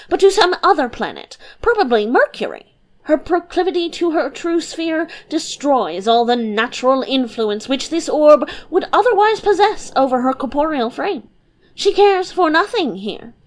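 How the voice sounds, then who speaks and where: A woman voicing a quick, flighty male philosopher who lectures emphatically. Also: high voice, pitched above the performer's own voice.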